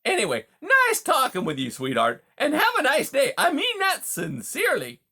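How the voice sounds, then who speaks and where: A huge male beaver from a storybook, pitched high and swooping widely. He is a pushy, smooth-talking bully, brash and folksy, and he bulldozes through every conversation. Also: wildly swooping pitch, moderate pace, bright, forceful tone, full character voice. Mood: falsely polite menace. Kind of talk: audiobook character dialogue.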